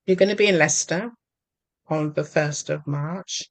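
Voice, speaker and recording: A woman's voice: low voice, moderate pace. A woman hosting an interview, with a Barbadian accent, asking long rambling questions full of ums. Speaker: host and novelist. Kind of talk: casual interview chat.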